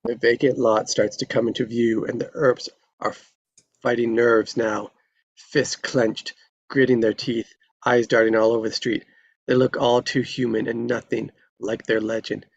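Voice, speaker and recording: A man's voice: medium pitch, flat delivery, moderate pace, creaky. A man narrating scripted stage directions at a live table read, on a dull recording.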